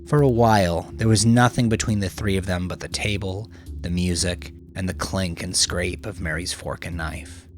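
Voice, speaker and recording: A man's voice: sits low, fairly even intonation, moderate pace, faint music behind. A man reading a crime story as a dramatic, scripted audiobook narration.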